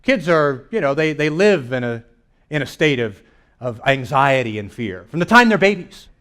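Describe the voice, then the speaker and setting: A man doing stand-up comedy storytelling to a live audience, animated and acting out reactions and dialogue as he goes. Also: mid-range voice, normal speed. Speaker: comedian and keynote speaker. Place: church hall in front of a live audience. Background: audience laughter.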